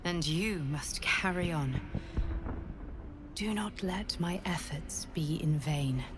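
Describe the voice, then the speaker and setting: A woman playing a weary, solemn psychic guardian in a video game, grave and earnest with a hushed, breathy edge. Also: deep voice, slow pace, background noise. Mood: grave, urgent. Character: weary, solemn psychic female guardian bearing a heavy burden. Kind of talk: dramatic video game acting.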